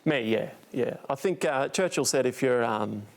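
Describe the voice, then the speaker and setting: A man with a gravelly, creaky voice talks as a guest in a studio discussion. He delivers his views firmly and in a measured way. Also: medium pitch, lively intonation. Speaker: panel guest.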